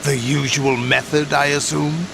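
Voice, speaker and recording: Gravelly, commanding villain leader. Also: male voice, a little high, level tone, background noise. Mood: cool, businesslike. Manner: authoritative, measured. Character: cold, commanding middle-aged mercenary leader villain. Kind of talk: video game cutscene acting.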